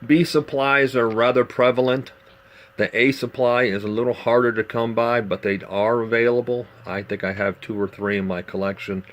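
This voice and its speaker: A man giving a casual, unscripted show-and-tell demonstration in a measured, explanatory way, pausing as he goes. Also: medium pitch, fairly even intonation, a bit bright. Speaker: vintage radio collector presenting a demonstration. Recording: clearly noisy amateur home video.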